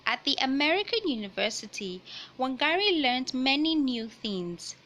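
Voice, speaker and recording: A woman reading a children's picture book aloud in a deliberate, teacherly way, pausing to explain and repeat key points. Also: high voice, very animated, fairly bright tone, muffled recording. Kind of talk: read-aloud storytelling with explanatory asides.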